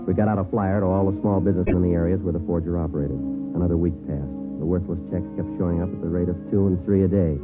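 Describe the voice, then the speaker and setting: Low, fast, deadpan police sergeant, acted by a man. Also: close to an everyday voice. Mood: dry, factual. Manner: deadpan, matter-of-fact. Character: terse, businesslike male police sergeant detective. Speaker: actor, lead and narrator. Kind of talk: 1950s radio police drama acting, clipped narration. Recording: tinny vintage radio recording with music.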